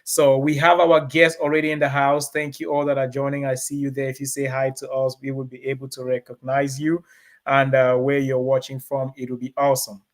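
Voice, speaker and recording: A man hosting a live-streamed talk show from a studio, speaking with energetic, repeated emphasis and greeting viewers directly. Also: medium pitch, brisk. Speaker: host and reverend.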